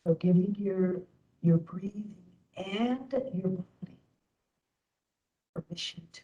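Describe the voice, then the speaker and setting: A woman leading a guided meditation as instructor, speaking gently in short phrases with long pauses. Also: medium pitch, muffled recording.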